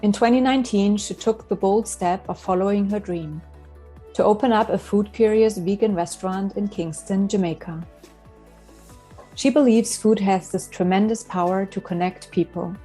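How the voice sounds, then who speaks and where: A woman's voice: mid-range voice, faint music behind. Woman podcast host. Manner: measured, reads guest intro, then soft affirming mm-hmms. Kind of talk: scripted introduction and interview questions.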